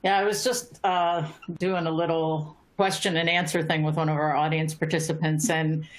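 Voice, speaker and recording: A woman with a notably low voice speaks as a panelist in an online discussion. She talks conversationally, repeating words as she gathers her thoughts and checking in with "right?". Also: flat delivery, normal speed, rough recording.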